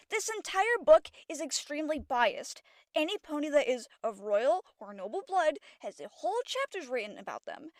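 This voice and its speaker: High-voiced, earnest teenage pony princess, played by a woman. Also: no echo. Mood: indignant. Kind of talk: animated-fandom audio drama acting.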